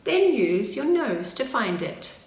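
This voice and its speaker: A woman voicing a wise, patient old grandmother bear in a children's storybook, gently teaching and encouraging. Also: fairly high pitch, big pitch swings, slow pace, far from the mic. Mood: instructive. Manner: gentle, instructive, reassuring. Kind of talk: children's storybook character reading. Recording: roomy, faint background noise.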